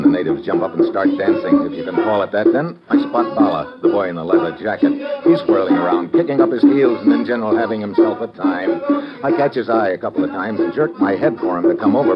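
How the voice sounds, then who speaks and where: An old-time radio recording, dull and backed by loud music. A wry, hard-boiled male investigator, played by an actor narrates and talks in a low, lively-pitched voice with a dry, sardonic edge. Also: close to an everyday voice.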